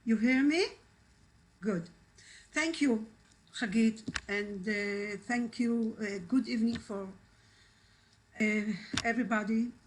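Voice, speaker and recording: Woman, native Iraqi Judeo-Arabic speaker, halting and emotional, reading aloud, slow pace, video call. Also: on the high side, slight background noise. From Israel. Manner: halting, searching for English words, emotional. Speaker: actress and translator, guest speaker.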